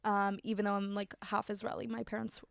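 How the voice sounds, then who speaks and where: A young American woman chats as a podcast guest, upbeat and loose, dropping plenty of likes and ums. Her voice carries a gravelly fry at mid pitch. The sound is dry and close, with no room around it. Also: flat delivery, a little fast, no echo. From United States. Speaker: podcast guest, community founder. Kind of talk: casual interview chat.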